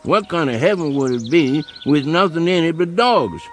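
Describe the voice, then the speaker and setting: Casting: man to play plain-spoken country coon hunter, stubborn, Southern rural drawl, expressive melody, faint music underneath. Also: fairly high pitch, natural voice. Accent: rural Southern American. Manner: homespun, unhurried, plain-spoken. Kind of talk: vintage television drama acting.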